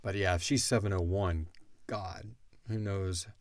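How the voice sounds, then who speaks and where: A man's voice: low-pitched, fairly even intonation, measured pace. A man co-hosting a casual question-and-answer podcast about an audio drama, informal and slangy as he thinks aloud.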